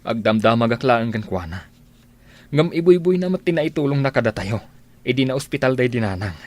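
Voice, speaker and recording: An actor playing a gentle, lovelorn man with a sincere, pleading delivery in a radio drama. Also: medium pitch, expressive, fairly quick, no room sound, natural voice. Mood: earnest. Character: earnest, lovelorn man Ferdinand, gentle and persistent.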